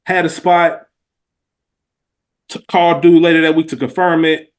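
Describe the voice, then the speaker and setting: Higher-voiced American man, earnest podcast talk. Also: steady tone, unhurried. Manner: earnest, informal and slangy, repeats phrases for emphasis. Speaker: podcast co-host. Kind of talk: casual reflective conversation.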